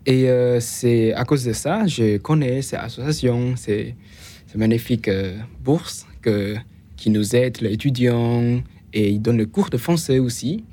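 Young adult male, foreign accent, non-native speaker, relaxed mellow tone, halting interview answers. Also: medium pitch, a little hiss or hum. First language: not French, learned it after moving to France. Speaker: student interview guest.

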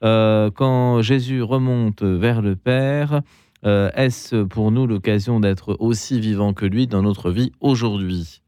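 A man's voice: lowish voice, slow, mellow, clear, resonant tone, dry recording, studio quality. A man hosting a call-in radio show, leading the questions in a friendly way. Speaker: radio host.

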